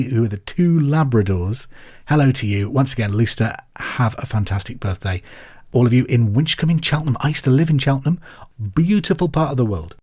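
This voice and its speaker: A man's voice: mid-range voice, a little mellow. An English man hosting a quiz podcast, chatting in an upbeat, friendly, conversational way as he presents and reads out messages. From England. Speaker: podcast host and quizmaster.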